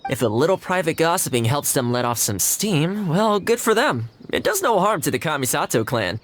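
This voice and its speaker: An actor playing a friendly, easygoing young male retainer in a video game, talking in a relaxed, chatty way. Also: fairly high pitch, normal speed, a little hiss or hum. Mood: cheerful. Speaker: game character.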